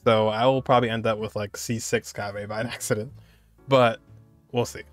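A man's voice: lowish voice, fairly even intonation, a little fast, faint music behind. A young man streaming a casual reaction to a game trailer, chatty, laughing often and filling gaps with lots of filler words. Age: young adult.

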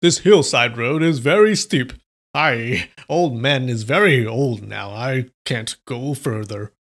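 A man voicing a rowdy male swordsman who plays drunk, with loud, slurring, boastful bluster. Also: fairly high pitch, animated, a little slow. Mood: wheezing sly.

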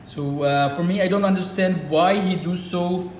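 An Egyptian man with an Arabic accent gives a casual, halting demonstration, with lots of fillers and restarts. His voice sits a bit high for a man. It is recorded on a phone in an echoey room with a faint hiss behind it. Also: a little mellow. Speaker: reptile keeper. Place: indoors at a table.

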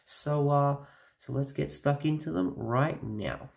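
A young man with a stuffy, nasal voice from a cold, chatting excitedly with many fillers as he reviews toys at home. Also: medium pitch, takes it slowly, vocal fry. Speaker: toy reviewer. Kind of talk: casual review vlog. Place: small room at home.

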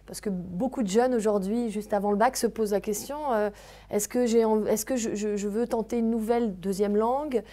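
A woman's voice: mid-range voice, fairly even intonation, mellow. A woman in her thirties hosting a studio interview, asking questions with frequent hesitations. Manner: brisk questioning with filler hesitations. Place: TV studio set. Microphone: handheld mic.

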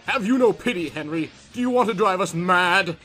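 A man's voice: high voice, expressive, moderate pace, sharp tone, faint music behind. A man playing a stern, scheming husband, melodramatically, in a horror comic reading. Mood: exasperated. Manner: melodramatic, emphatic.

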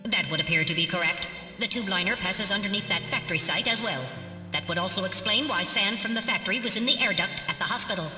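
A woman's voice: medium pitch, quick pace, distant mic, natural voice. A woman playing a small, polite robot navigator in an adventure game, earnest and formal, with precise phrasing. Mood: analytical, calm. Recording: echoey hall-like reverb, music behind.

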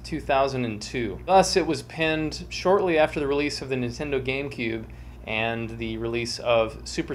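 A man in his twenties hosting a dramatic comic reading in a theatrical, mock-serious manner with deliberate enunciation. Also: medium pitch. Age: 20s. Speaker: show host and reader. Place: small home room with bookshelves, indoors. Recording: clear background hum and noise.

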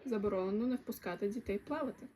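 A woman's voice: medium pitch, fast talker. A woman presenting a comic camp video, reading out numbered rules in a scripted announcing style. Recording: echoey, distant, loud crowd noise behind.

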